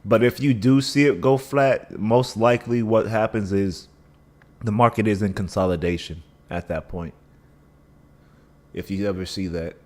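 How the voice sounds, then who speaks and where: A man's voice: on the low side, fairly even intonation, moderate pace. A man hosting a casual live stream, talking through chart analysis with a scratchy voice and a dry mouth, thinking aloud with many restarts. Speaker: livestream trading host.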